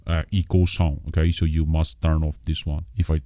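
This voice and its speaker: A man who is not a native English speaker gives a casual step-by-step software tutorial in a halting, repetitive way. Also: extremely low pitch, flat delivery, no echo, clean studio sound. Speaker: tutorial host.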